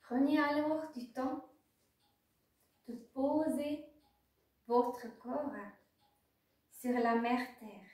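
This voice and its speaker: Very slow, high-voiced woman guiding meditation. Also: steady tone, a little mellow. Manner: slow, pausing instructions in short phrases. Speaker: yoga nidra teacher. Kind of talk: guided meditation. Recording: very echoey room, distant microphone.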